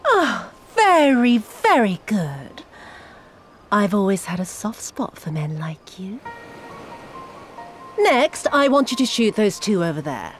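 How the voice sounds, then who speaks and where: An actress playing a cruel, sadistic imperial official in a video game, mocking and theatrical in her drawn-out delivery. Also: medium pitch, sing-song, soft background music. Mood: sadistic, coy.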